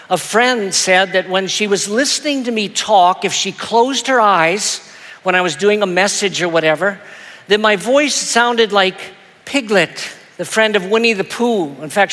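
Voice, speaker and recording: A male pastor telling a story in a sermon, with a soft, soothing voice and a gentle, self-deprecating manner that stumbles into little repeats. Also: high-pitched. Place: church auditorium. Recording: long hall reverb.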